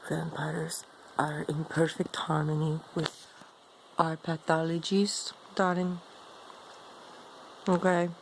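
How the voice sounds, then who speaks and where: An ancient vampire woman, role-played by a woman, low and heavy with vocal fry. She is condescending and seductive, with menace under every unhurried phrase. There is faint noise behind her. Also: level tone, heavily acted, rough recording. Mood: smug, teasing. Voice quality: gravelly, low, creaky. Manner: slow, haughty, teasing, calls listener darling. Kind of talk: improvised in-character role play monologue to viewer.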